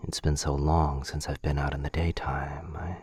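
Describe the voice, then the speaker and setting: Man acting a very deep, husky, gentle vampire gentleman. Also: little pitch movement, moderate pace, no room sound, studio quality, full character voice. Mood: gentle, apologetic. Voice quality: breathy, husky, whispery. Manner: soothing, apologetic. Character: gentle, protective vampire gentleman, soft-spoken rescuer. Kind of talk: boyfriend ASMR roleplay. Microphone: close mic, dry.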